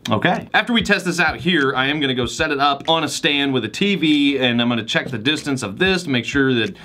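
A man's voice: a little high, sharp tone. Chatty man reviewing gadgets on camera. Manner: chatty, upbeat, thinks aloud while testing. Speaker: tech reviewer host. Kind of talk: casual product review. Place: small studio with foam-padded walls, then a living room.

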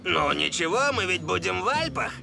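A man voicing a cocky, breezy star footballer in a dubbed cartoon, with a bright, gravelly, boastful delivery. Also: high voice, big pitch swings, normal speed, over music, heavily acted.

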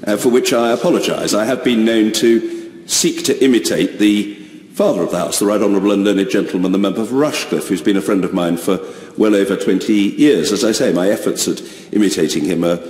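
A man in his fifties with a somewhat low voice. He delivers a ruling in orotund, deliberate and elaborately phrased sentences. The sound has a roomy, long reverb. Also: darkish tone. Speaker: speaker of the house. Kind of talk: formal chairing ruling. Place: large debating chamber. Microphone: chamber microphone, seated.

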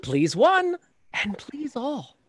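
Smug lovesick old-play steward, super high and drawn out, leering, theatrical. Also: male voice, huge pitch swings, slow pace, dry recording, exaggerated voice. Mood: smug, giddy. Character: pompous, smirking lovestruck male steward in comedy. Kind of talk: Shakespeare reading over video call. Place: indoor room on a video call.

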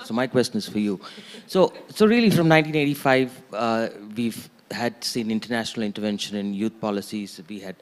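A middle-aged man delivers a pointed question from a conference audience. He speaks emphatically and rhetorically, and his miked voice carries the long reverb of a hall. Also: fairly low pitch. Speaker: audience member questioning a panel. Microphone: handheld microphone held close.

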